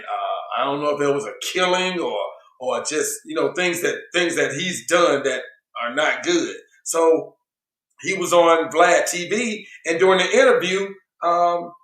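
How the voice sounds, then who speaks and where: A man with a slightly high voice hosts a casual online show, talking in a loose, slangy way and often restarting his sentences. His voice is going hoarse. The room around him sounds echoey. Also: fairly bright tone. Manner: laid-back slangy talk, many restarts. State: losing his voice. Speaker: show host.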